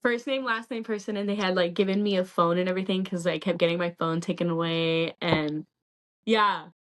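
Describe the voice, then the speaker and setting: A woman's voice: fairly low pitch, steady tone, brisk. Candid young woman telling her story. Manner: candid rambling storytelling, many "like" fillers. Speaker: podcast guest. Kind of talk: casual chat.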